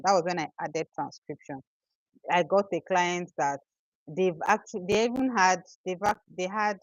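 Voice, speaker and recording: A woman's voice: sits low, a little mellow, dry recording, muffled recording. A young Nigerian woman with a Nigerian accent, chatting casually as she answers viewers' questions on a livestream. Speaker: livestream host.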